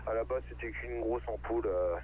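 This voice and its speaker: A man's voice: on the low side, fairly even intonation, brisk. A man chatting casually as a hobby radio operator, rambling in a colloquial way. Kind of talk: casual chat on amateur radio. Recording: narrow tinny radio link, faint noise.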